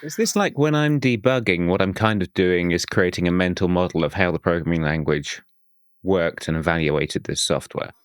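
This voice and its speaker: A man's voice: low voice, moderate pace, clean tone. A man hosting a technical podcast, asking questions in a brief, casual way. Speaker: podcast host. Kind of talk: interview questions.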